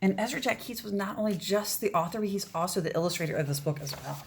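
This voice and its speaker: A woman's voice: mid-range voice, fast talker, gravelly, background noise. A woman reading a children's picture book aloud, expressively, with casual asides about the pictures. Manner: expressive, pointing out pictures to listeners.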